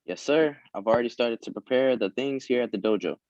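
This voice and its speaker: Dutiful young martial arts pupil, read by a man, eager, medium pitch, dull video-call sound. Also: brisk, darkish tone, no echo, lightly acted. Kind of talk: script table read.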